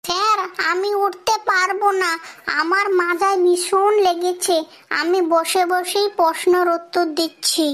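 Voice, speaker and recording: A woman's voice: very high-pitched, flat delivery, measured pace, fairly bright tone. A woman voicing a small, pleading school pupil making excuses in a comic cartoon dub.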